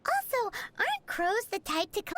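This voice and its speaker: A woman voicing a tiny, food-obsessed female companion creature in a video game, squeaky, childlike and excitable, with a whiny, bubbly manner. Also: normal speed, heavily acted. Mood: chatty, curious. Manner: refers to self in third person, whiny and bubbly. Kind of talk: video game character acting.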